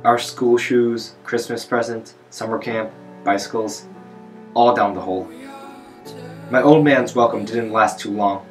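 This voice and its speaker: A man's voice: on the low side, steady tone, a little slow, faint music behind, lightly acted. A man acting as a haunted, poor young father, narrating his bitter confession as a dramatic monologue. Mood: bleak, bitter. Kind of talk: first-person dramatic monologue narration with music.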